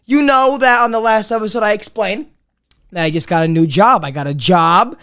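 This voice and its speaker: A man's voice: sits high, normal speed, sharp tone, smooth. A man hosting a casual comedy podcast, talking in a rambling, profane way with many restarts while he is losing his voice. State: losing his voice, a bit under the weather. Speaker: podcast host.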